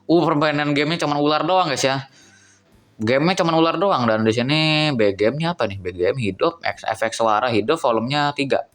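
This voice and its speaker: Young guy rambling through a cheap phone review, mid pitch, faint music under him. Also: fairly quick, fairly bright tone. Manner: chatty, thinks aloud, addresses viewers as guys. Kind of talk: casual phone review vlog.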